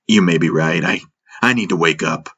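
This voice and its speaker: A man's voice: sits low, normal speed, a bit bright, breathy edge, lo-fi sound, heavily acted. A man voicing a proud, weary ship's commander who talks in a stiff grumble.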